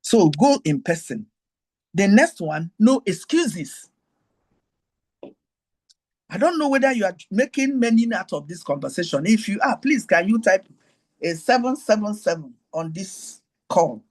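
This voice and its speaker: A Nigerian woman hosts her show on an online group call and teaches in a high voice with a breathy, husky edge. Her pitch stays in a middle range for a woman. She drifts into personal stories and keeps repeating her key points to the people listening. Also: very animated, unhurried, darkish tone. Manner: digressive, repeats key phrases, talks straight to listeners. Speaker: host.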